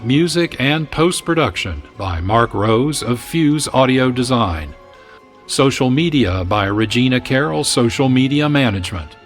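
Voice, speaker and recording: A man hosting a radio show, reading scripted announcements in a measured, formal way. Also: mid-range voice, fairly bright tone. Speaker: radio show producer and host.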